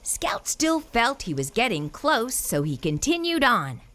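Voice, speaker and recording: A woman reading a children's picture book aloud as a storyteller, in an animated way with theatrical emphasis. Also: a little high, measured pace.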